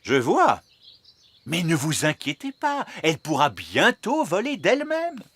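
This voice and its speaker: A man's voice: fairly high pitch, huge pitch swings, unhurried, fairly bright tone, husky, exaggerated voice. Swooping, pompous fairy king dad. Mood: reassuring, explanatory. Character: fairy king father, genial, pompous, slightly muddled adult male. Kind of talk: children's cartoon dubbing.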